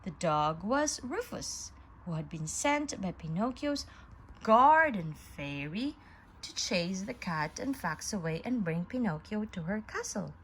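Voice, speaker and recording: A woman reading a children's story aloud in an expressive, deliberate storyteller's manner. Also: medium pitch, a little hiss or hum, rough recording.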